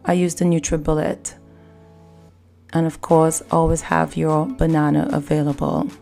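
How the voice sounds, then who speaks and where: Woman, lowish voice, calm step-by-step recipe narration over faint music. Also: unhurried, gravelly. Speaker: cooking channel host.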